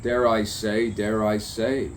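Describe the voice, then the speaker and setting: A man improvising stream-of-consciousness spoken-word poetry in short, deliberate, theatrical phrases full of rhyme and repetition. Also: on the low side, flat delivery. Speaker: poet. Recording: background noise of insects and birds.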